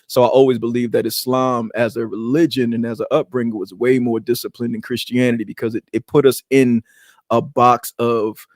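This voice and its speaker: A man's voice: medium pitch, flat delivery, brisk, no echo. Man, grew up speaking Arabic, podcast co-host, reflective, rambling, dry close sound. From Queens, New York. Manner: reflective, loose storytelling with repeated restarts. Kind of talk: casual podcast conversation.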